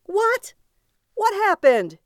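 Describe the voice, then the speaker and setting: A woman's voice: mid-range voice, lively intonation, normal speed, darkish tone, no room sound, clean studio sound, lightly acted. A woman voicing a bitter, reckless, balding male agent of death in an audiobook, scornful and hot-tempered. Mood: angry.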